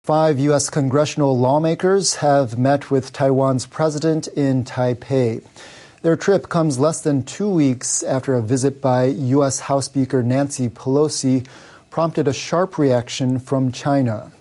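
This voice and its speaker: A man's voice: mid-range voice, steady tone, a little slow, dark tone. A man reading a scripted news bulletin on the radio, with faint noise on the recording.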